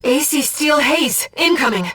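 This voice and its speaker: A woman voicing an earnest, gentle guide who speaks inside a pilot's head in a mecha video game, in measured scripted delivery. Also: high-pitched, expressive, recorded from a distance, heavily acted. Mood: urgent alarm. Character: soft-spoken, earnest female voice in a pilot's head, loyal guide. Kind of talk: scripted video game voice acting, tactical callouts.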